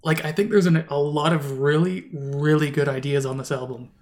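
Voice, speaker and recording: A man's voice: fairly high pitch, steady tone, normal speed. A man co-hosting a casual album review podcast, talking in a rambling way with many restarts and fillers. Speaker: podcast co-host.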